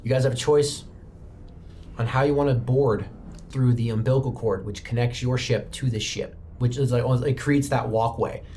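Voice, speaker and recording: A man's voice: medium pitch, steady tone, normal speed, mellow, slight background noise. A man narrating a tabletop roleplaying game as game master, improvising as he goes.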